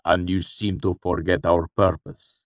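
Fantasy audiobook villain performed by a male narrator: deep, slow, coldly patient dark lord, commanding and menacingly polite. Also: no echo, studio quality, exaggerated voice. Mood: cool, pointed. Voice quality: cool. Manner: slow, careful, calmly polite with an edge of anger.

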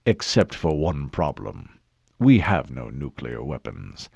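A man voicing a smug, suave male governor who speaks low and mocking. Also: sing-song, slow, vocal fry, a little mellow, breathy edge, no room sound, studio quality, heavily acted.

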